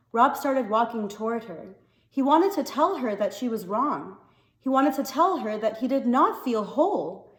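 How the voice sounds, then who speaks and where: A woman reading a novel aloud as an expressive story narrator. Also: a little high. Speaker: story reader. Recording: echoey, reverberant room.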